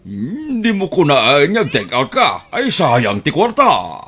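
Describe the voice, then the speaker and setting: A man acts out a penny-pinching Ilocano uncle in an audio drama. The voice is rough and husky, with animated swings in pitch. He wheedles and exclaims greedily. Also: slow, heavily acted. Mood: wheedling.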